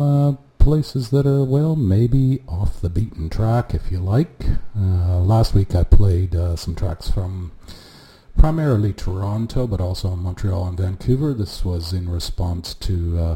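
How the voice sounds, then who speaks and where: A man with a very low voice and a dark, mellow tone introduces his radio set. He talks loosely and unhurriedly, filling gaps with little hesitations as he runs down what he's playing. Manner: relaxed, unhurried, with many "uh" pauses. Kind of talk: casual radio show intro. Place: at home, street noise coming in.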